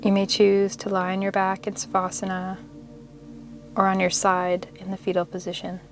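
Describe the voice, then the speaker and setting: A woman leading a guided meditation in a soothing, measured, unhurried manner, pausing between short phrases of instruction. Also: mid-range voice, completely flat delivery. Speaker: yoga nidra meditation guide. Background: meditation music.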